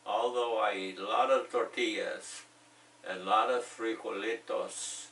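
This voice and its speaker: Guy teaching english real slow, deep voice, mic kinda far off in an echoey room. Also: steady tone, a bit bright. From United States. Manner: slow, repeats example phrases, joking asides. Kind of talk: bilingual language lesson.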